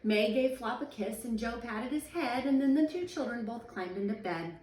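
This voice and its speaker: A woman, a teacher in her thirties, reading a children's picture book aloud at home in a sing-song, expressive way. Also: a little high, normal speed. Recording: echoey room, voice a little far from the mic.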